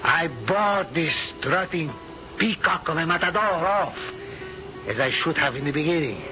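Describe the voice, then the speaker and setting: An actor playing a proud, anguished older Spanish husband in a halting, melodramatic 1970s radio drama style. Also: male voice, a little high, lively intonation, natural voice. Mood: sorrowful, confessing. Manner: slow, halting, melodramatic delivery. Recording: dull old radio broadcast with music.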